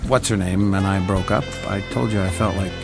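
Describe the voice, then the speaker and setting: A young pilot in love, in an audio drama. The voice is low and full of creak, casual and affectionate, with music clearly audible behind it. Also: male voice, steady tone, a little fast. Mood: tender, earnest. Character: tender, playful young boyfriend, a pilot.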